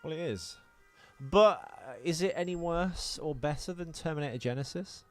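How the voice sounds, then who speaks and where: A man's voice: on the high side, moderate pace, soft background music. Rambling British man in casual banter. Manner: rambling, hesitant, self-deprecating, sweary. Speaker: podcast co-host. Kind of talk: casual unscripted film podcast banter.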